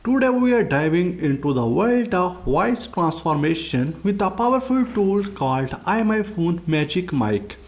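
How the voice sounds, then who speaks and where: A man's voice: high voice, a little mellow. A man hosting a tech review, reading scripted tutorial narration.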